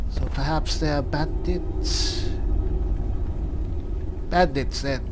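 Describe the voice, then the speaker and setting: Man, slightly high voice, unhurried pace, musing gameplay commentary, short remarks to himself. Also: background noise. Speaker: gamer narrating a roleplay playthrough. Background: music behind the voice.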